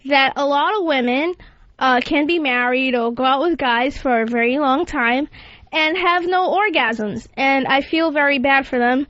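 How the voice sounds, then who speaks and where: Woman, talk show host, high-pitched, unembarrassed, casual delivery, muffled lo-fi recording. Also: moderate pace, fairly bright tone, dry recording. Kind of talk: casual radio chat. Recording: dull lo-fi audio, faint music.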